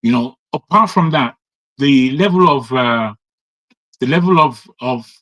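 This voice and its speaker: A man's voice: mid-range voice, expressive, a little slow, a bit bright, poor audio. A man giving opinionated talk-show commentary, emphatic, often repeating and restarting his words. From Nigeria. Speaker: panel commentator.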